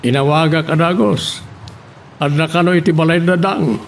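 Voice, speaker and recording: High, gravelly Ilocano male boss. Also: normal speed, slight background noise. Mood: stern, instructing. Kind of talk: Ilocano radio drama acting.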